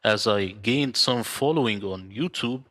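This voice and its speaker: A man from the Middle East, a native Arabic speaker, who talks in an earnest, measured way. He is an interview guest joining over a video call. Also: lowish voice, bright, forceful tone.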